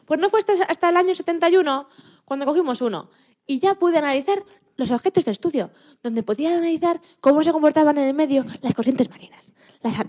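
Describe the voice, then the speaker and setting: Woman playing a high-voiced, chatty Spanish scientist. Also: natural voice. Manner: expressive, chatty, self-correcting. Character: chatty, proud, enthusiastic woman Antarctic scientist. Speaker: interview guest.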